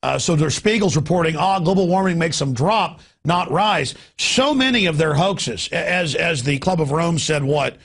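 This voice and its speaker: A male talk radio host with a high, raspy voice that grinds into heavy gravel. He delivers opinionated political talk emphatically, ranting and piling one example on another. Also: expressive, moderate pace, hardly any pauses, fairly bright tone.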